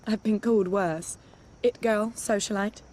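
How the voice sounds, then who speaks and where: A woman's voice: mid-range voice, fairly even intonation, unhurried, a little mellow, close to an everyday voice. A woman acting a flirtatious socialite secret agent in a video game, playful, arch and self-assured. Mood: flirty. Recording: music behind the dialogue.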